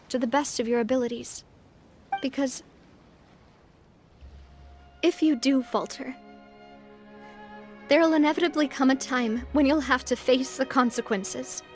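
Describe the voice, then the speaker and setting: A woman playing a refined, warm-hearted young leader in a video game, talking in a gentle, earnest, thoughtful way. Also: high-pitched, a little hiss or hum. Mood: somber. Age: young adult. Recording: background music.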